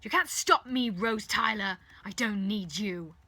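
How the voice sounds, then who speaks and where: A woman's voice: on the low side, lively intonation, fairly quick, gravelly, husky, dry recording, heavily acted. A woman voicing a menacing, mocking alien man who thinks himself a god, in a dramatised story reading. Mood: furious. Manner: hissing whispers swinging into furious shouting.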